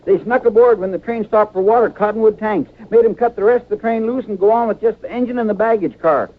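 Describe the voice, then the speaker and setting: An actor playing an eager, anxious deputy sidekick in a radio western, with a folksy rural American accent. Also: male voice, high voice, quick pace. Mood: urgent. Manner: folksy, earnest. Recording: tinny old radio recording, faint noise.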